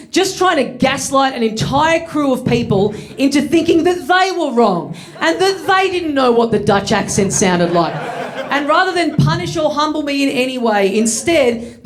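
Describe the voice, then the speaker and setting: A man in his thirties with an Australian accent, telling a self-mocking stand-up story to a laughing live audience. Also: very high voice. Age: 30s. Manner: wry, self-mocking, acts out voices. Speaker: stand-up comedian. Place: comedy studio with live audience.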